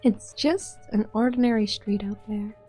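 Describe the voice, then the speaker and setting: A woman's voice: medium pitch, moderate pace, dark tone, soft background music, natural voice. A woman playing a cool, observant consultant with an understated delivery, in a fan-dubbed game drama. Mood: cool, wry. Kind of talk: scripted fan-dub game drama acting.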